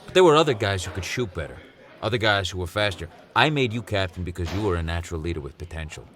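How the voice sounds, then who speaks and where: Gruff male police sergeant, deep voice, blunt, scolding, coach-like, audio drama. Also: animated, quick pace, a bit bright.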